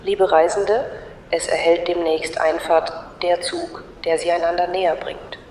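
A woman performing an echoey, distant female loudspeaker voice in a radio play, slightly low. It sounds like a formal station announcer who knows too much and teases. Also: level tone, unhurried, slight background noise. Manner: formal, knowing, teasing. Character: uncanny, meddling female station loudspeaker in a dream. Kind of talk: mock public announcement in audio drama.

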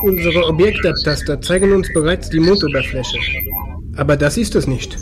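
A man's voice: fairly high pitch, moderate pace, over music, natural voice. A man playing a wry, matter-of-fact spaceship pilot in a science fiction audio drama, with a dry reporting manner. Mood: dry, uneasy.

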